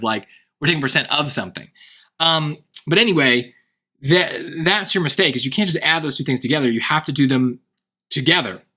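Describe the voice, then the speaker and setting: A man tutoring SAT math, thinking aloud through a worked problem with wry asides, his voice going hoarse. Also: fairly high pitch, sharp tone. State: voice getting hoarse. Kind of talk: casual worked-example lesson.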